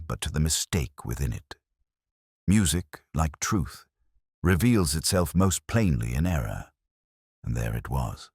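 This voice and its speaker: A man playing a melancholy, reflective police detective, narrating his case in solemn, deliberate literary phrasing. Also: extremely low pitch, breathy edge, dry recording, studio quality, full character voice. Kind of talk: scripted first-person detective story narration.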